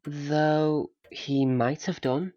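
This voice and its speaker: A man's voice: on the high side, fairly even intonation, extremely slow, a little mellow, lo-fi sound. A man playing a mild, doubtful listener in a naturalistic audio drama, answering in short, tentative, questioning replies. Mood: doubtful, agreeable.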